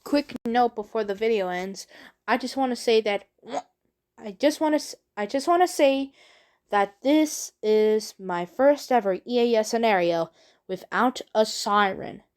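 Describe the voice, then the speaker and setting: A woman talking casually and unscripted as a video creator, in a halting, rambling way with repeated phrases. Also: on the high side, clean tone. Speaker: video creator introducing own work. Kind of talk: casual unscripted vlog talk.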